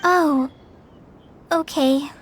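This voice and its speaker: Very high-pitched eager young girl. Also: steady tone, extremely slow, a little hiss or hum. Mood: slightly deflated. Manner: bubbly, eager to please. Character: cheerful eager young girl apprentice, polite and caring. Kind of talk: animated video game acting.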